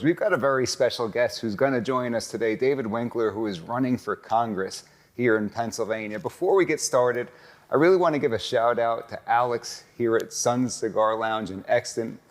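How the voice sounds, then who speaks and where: A man's voice: mid-range voice, moderate pace. A man hosting a casual interview podcast, upbeat and promotional, recorded in a cigar lounge. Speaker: podcast host and lawyer.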